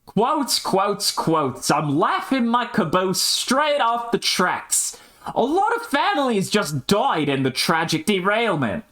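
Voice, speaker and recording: An excitable young adventurer, acted out by a man, speaks in a raised voice that swoops widely. He is exasperated and exclamatory, tossing out quaint slangy curses in an amateur audio drama. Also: sits high, slow, full character voice. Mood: sarcastic. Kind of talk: amateur scripted character dialogue.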